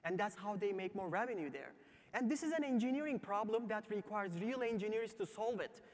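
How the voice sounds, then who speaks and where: A man from Turkey with a Turkish accent, delivering an emphatic startup pitch on a theatre stage. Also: lively intonation, brisk. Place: large theatre stage before live audience. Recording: long reverberant theatre hall.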